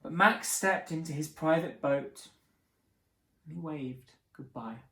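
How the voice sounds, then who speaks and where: A man hosting a picture book reading, narrating theatrically for children with dramatic pauses. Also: on the high side, pushed and bright. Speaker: host reading picture books aloud. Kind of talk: animated children's story narration. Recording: roomy, echoing sound.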